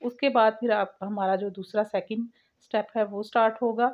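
A woman's voice: mid-range voice, fairly even intonation, moderate pace. A woman giving a step-by-step cooking voice-over as the host of a recipe channel, on a lo-fi home recording.

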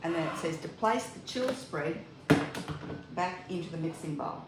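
A woman's voice: lowish voice, expressive, moderate pace. An older woman from Australia hosting a home cooking demonstration, chatting to viewers as she works in her kitchen and picked up by a camera mic at a distance. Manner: chatty, talks to viewers while working. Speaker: cooking video host. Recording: echoey kitchen with appliance noise.

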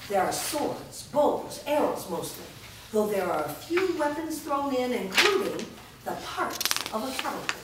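A woman narrating stage directions in a staged script reading, reading them clearly and evenly. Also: a little high. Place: large indoor studio room. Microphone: far camera. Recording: echoey room from far off, noisy background.

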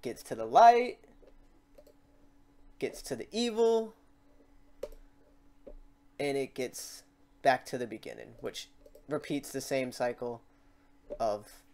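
Clear-voiced man giving a casual tutorial. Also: mid-range voice, animated, takes it slowly, pauses often, darkish tone. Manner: informal, thinking aloud, self-deprecating. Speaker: tutorial host. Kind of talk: casual, improvised software tutorial.

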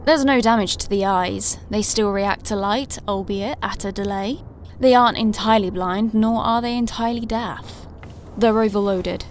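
An actress playing a doctor in an audio drama, giving a calm, precise clinical report. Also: female voice, medium pitch, moderate pace, a little hiss or hum, close to an everyday voice. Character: measured, clinical female doctor reporting observations.

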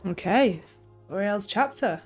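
A woman's voice: mid-range voice, expressive, takes it slowly, over music. A young woman giving casual, exasperated gameplay commentary, swearing and reacting aloud. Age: young adult. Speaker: game streamer. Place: small home room.

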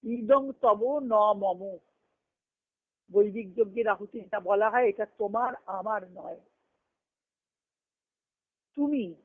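A woman giving an earnest, emphatic devotional discourse over a conference phone line, reciting scripture and verse and pausing between short phrases. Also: mid-range voice. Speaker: spiritual discourse speaker. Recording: tinny band-limited conference phone call.

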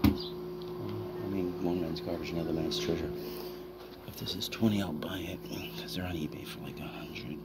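A man's voice: deep voice, normal speed. A man reselling finds, talking casually to a handheld phone while browsing a cluttered house, often muttering half-whispered asides to himself. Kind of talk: casual vlog commentary. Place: cluttered house at an estate sale, indoors. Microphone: handheld phone camera. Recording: loud background noise.

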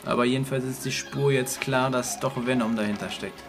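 Male commentator, creaky mid-range voice, plainly explaining the action over music. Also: medium pitch, fairly even intonation, a little fast. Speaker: co-commentator on a retro cartoon. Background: cartoon soundtrack and music.

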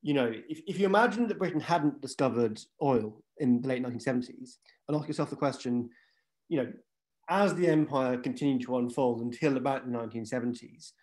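A man's voice: medium pitch, brisk, darkish tone. Man with a Scottish accent, talking at length. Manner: discursive, many "you know" asides. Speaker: panel guest. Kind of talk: online panel discussion. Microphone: video call.

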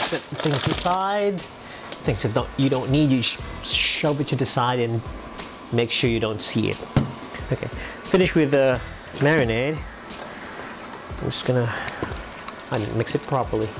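A young man with a Filipino accent chatting casually to the camera while he cooks in his home kitchen, making joking asides. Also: mid-range voice. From Philippines. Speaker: vlogger. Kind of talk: casual chat while cooking.

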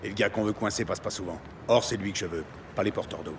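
Blunt, low-voiced cop played by a man. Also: fast talker, noisy background, lightly acted. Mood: wary, firm. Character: hard-bargaining, territorial New York narcotics cop. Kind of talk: French audio drama acting. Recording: loud music and street ambience behind.

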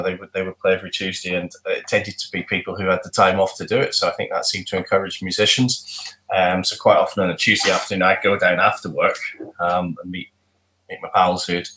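Casting: middle-aged male musician, low voice, rambling chatty answers over webcam. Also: sharp tone, rough recording. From not from Glasgow. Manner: chatty, rambling, lots of ums and restarts. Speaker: musician guest. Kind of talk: casual video call interview. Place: home room with guitars. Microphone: webcam on video call.

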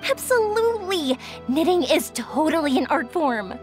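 A bright, high and bubbly young woman, teasing friends with a lively, bouncing lilt. She is a cheerful game character with faint music behind her. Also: normal speed. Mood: upbeat. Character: cheerful young fireworks-maker girl, bubbly and playful. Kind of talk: video game voice acting.

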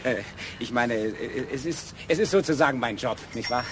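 An actor playing a middle-aged architect and father in a German radio play, halting and slightly pompous. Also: medium pitch, noisy background, lightly acted. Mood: hesitant, self-important. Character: middle-aged architect, hesitant, self-important family father.

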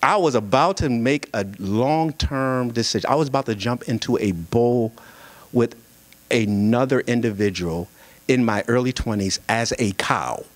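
A man's voice: mid-range voice, moderate pace, dry recording. A young man speaking at a singles conference from a pulpit with a handheld mic, telling a story with humor in a sermon-like style. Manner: lively, storytelling, jokes with the crowd, animated delivery. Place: church sanctuary at a pulpit. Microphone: handheld microphone.

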